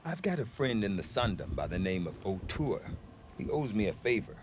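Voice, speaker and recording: An actor playing a jovial, wry frontier trader in a video game, affable and folksy in manner. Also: male voice, lowish voice, sing-song, fairly quick, a little hiss or hum. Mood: pleased, wry. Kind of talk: video game cutscene acting.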